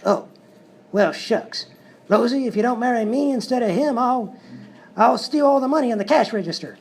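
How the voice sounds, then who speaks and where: An actor playing a bumbling, lovelorn Wild West bandit with a folksy, hesitant drawl in a live radio-style comedy sketch. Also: male voice, extremely high pitch, lively intonation, moderate pace, gravelly, heavily acted. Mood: blustering. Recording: noisy live stage recording.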